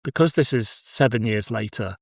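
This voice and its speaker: Male podcast host, medium pitch, casual and conversational, close dry sound. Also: moderate pace, no room sound. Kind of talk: casual discussion.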